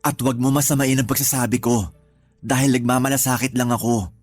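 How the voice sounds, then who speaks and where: A man's voice: mid-range voice, fairly even intonation, brisk, a little mellow, soft background music, natural voice. A man with a Filipino accent acting a weary, hurt live-in partner in a radio drama, earnest and reproachful. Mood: earnest, admonishing.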